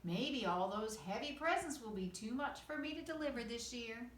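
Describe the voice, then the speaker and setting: A woman's voice: on the high side, expressive, moderate pace, roomy sound, distant mic, slight background noise, close to an everyday voice. A woman voicing a kindly, reassuring old Santa Claus in a storybook reading. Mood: apologetic, tired.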